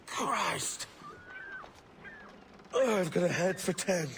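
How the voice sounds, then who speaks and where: An actor playing a rugged, regretful pirate captain in a video game, speaking bluntly and earnestly. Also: male voice, high-pitched, lively intonation, extremely slow, creaky, fairly bright tone, slight background noise, exaggerated voice. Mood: groggy, relieved. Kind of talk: dramatic video game acting.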